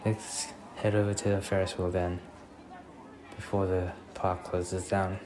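Man playing a very low-voiced, tender young boyfriend. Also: little pitch movement, unhurried, crowd noise. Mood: tender, wistful. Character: protective, tender, popular young boyfriend on a date. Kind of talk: ASMR boyfriend roleplay. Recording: clearly noisy recording with ambient sound.

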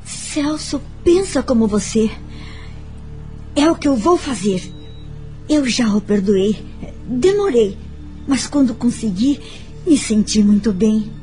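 An actress playing a reflective, forgiving woman telling her story in a radio drama, earnest and measured. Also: on the high side, animated, mellow, faint music behind. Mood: serene, earnest. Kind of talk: radio drama acting with narration.

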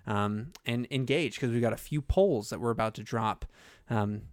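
A young man co-hosting a podcast, animated and joking, often laughing. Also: medium pitch, no room sound, studio quality. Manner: animated, laughing, quick jokey asides. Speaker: podcast co-host. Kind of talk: casual podcast chat.

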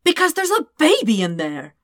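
A woman's voice: sits high, wildly swooping pitch, normal speed, no room sound, clean studio sound, voice pushed up. A woman voicing a panicky, dramatic male detective in a story, nervous and insistent. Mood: panicked.

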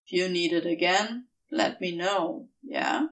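Female reader as easygoing male demon: lowered pitch, casual, softly teasing, affectionate audiobook character. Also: lively intonation, a little slow, distant mic, poor audio. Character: laid-back male demon, teasing and fondly protective.

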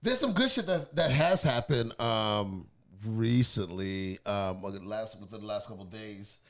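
A man's voice: on the low side, unhurried. A man co-hosting a podcast, chatting casually, swearing a lot and laughing often. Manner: animated, profane, laughs often. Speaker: podcast co-host. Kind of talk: casual chat.

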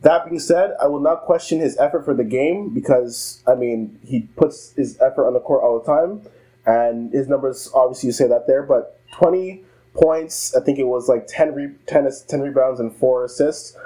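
A man's voice: mid-range voice, normal speed, mellow, low-quality recording. A young man co-hosting a basketball podcast, talking casually and bluntly, swearing and laughing easily. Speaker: podcast co-host. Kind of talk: casual sports chat.

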